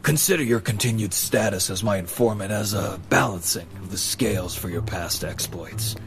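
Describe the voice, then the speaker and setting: An actor playing a solemn masked male hero in a pulp radio drama, with formal, commanding, deliberate diction. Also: lowish voice, slight background noise, close to an everyday voice. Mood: stern, measured.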